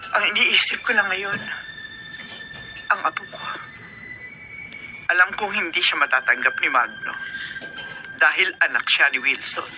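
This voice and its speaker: A woman's voice: sits low, unhurried, pauses often, music in the background. An actress playing an anxious, guilt-ridden, tender old grandmother in a Filipino radio drama. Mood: anxious, remorseful. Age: older.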